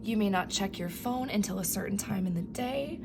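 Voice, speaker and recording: Soft-spoken woman losing her voice. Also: mid-range voice, normal speed, gravelly, music in the background. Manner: soft, affirming, often dropping to a whisper. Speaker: tarot reader. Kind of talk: pick-a-card tarot reading.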